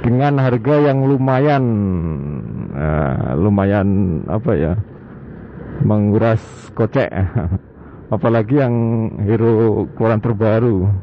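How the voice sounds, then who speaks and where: Man, lowish pitch, casual motovlog chat, halting delivery, clear traffic noise. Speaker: motovlogger, host. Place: outdoors on a moving motorbike at night. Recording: muffled band-limited audio, loud road noise. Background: traffic and vehicle noise.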